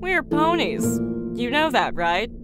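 A woman's voice: fairly high pitch, big pitch swings, takes it slowly, loud music behind the voice. A woman playing a weary, sardonic female pony news anchor in a comedic audio drama, with a dry delivery. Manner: dry, sarcastic.

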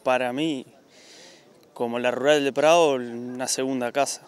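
A man's voice: medium pitch, fairly even intonation, a little slow, clear, resonant tone. A young man with a Uruguayan accent answering interview questions outdoors. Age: young adult. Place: outdoors at a showground. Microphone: handheld interview microphone.

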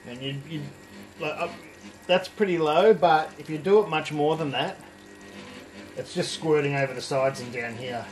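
A middle-aged man giving a casual hands-on home brewing demonstration, explaining in a relaxed way as he works in an indoor home brewery. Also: fairly high pitch, moderate pace, a little hiss or hum, poor audio. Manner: relaxed, explanatory, thinking aloud while working.

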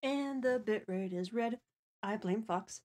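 A woman's voice: mid-range voice, a little slow, a little mellow. A woman hosting a livestream, chatting casually and playfully with her co-host and viewers.

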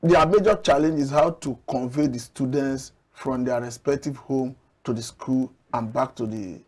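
A middle-aged man from Nigeria, a studio guest analyst, making measured, argumentative points with occasional restarts, on a lapel mic. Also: medium pitch, steady tone, darkish tone. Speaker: public affairs analyst guest. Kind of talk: studio TV discussion. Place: TV studio set.

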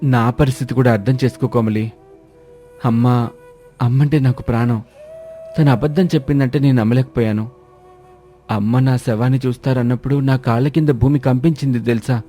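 Casting: man as apologetic young husband, mellow voice, pleading and earnest, animated moral tale. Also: medium pitch, moderate pace, long pauses, faint music behind, natural voice. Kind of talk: dramatized animated moral story.

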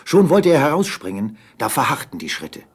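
A man's voice: mid-range voice, huge pitch swings, moderate pace, slightly rough. A man narrating an adventure story in a dramatic, scripted style.

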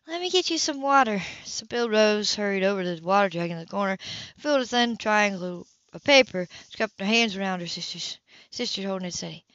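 A woman's voice: medium pitch, fairly quick, a bit bright, no room sound, dull audio. A woman reading a novel aloud as an amateur audiobook narrator, occasionally stumbling and correcting herself, on a home recording.

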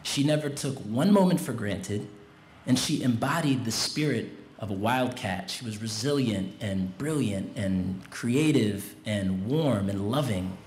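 A man in his thirties giving a commencement speech at a podium mic in a big tent, talking in a relaxed, conversational way and getting the crowd involved. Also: on the low side, measured pace. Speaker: commencement guest speaker. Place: large tent at an outdoor graduation. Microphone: podium mic on a stand. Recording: roomy tent sound with faint noise.

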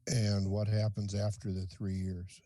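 A man's voice: on the low side, monotone, measured pace, dark tone, slight background noise. A man on a county board asking questions at a public meeting.

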